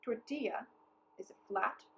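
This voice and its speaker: A woman reading a children's book aloud as narrator, with deliberate, careful enunciation. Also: on the high side, expressive, vocal fry, fairly bright tone, a little hiss or hum. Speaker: storybook reader. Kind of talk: scripted children's story read-aloud.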